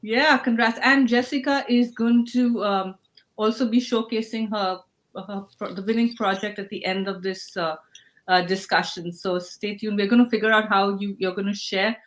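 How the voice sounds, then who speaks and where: A woman's voice: medium pitch, steady tone, moderate pace, low-quality recording. A woman hosting a casual livestream over a video call, upbeat and encouraging, often restarting her sentences. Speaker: host of a livestreamed hackathon session.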